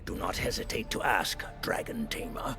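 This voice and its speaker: A man with a gravelly voice playing a wry, loyal counsellor in a video game cutscene. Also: sits low, expressive, moderate pace, bright, forceful tone, faint music behind, exaggerated voice. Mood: wry, supportive.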